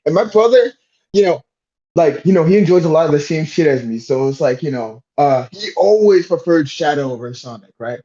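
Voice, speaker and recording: A man's voice: fairly high pitch, expressive, a little fast, warm tone. A man chatting casually as a podcast panelist, slangy and sweary, heard through a somewhat lo-fi microphone. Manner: slangy, sweary, says "bro" and "you know" often. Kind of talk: casual chat.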